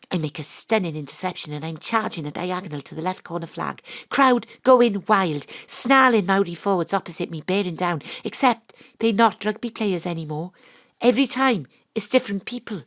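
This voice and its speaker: An actress playing a wry, world-weary Welsh private investigator, narrating and talking in an audio drama. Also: female voice, medium pitch, lightly acted. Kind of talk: first-person audio drama narration and dialogue.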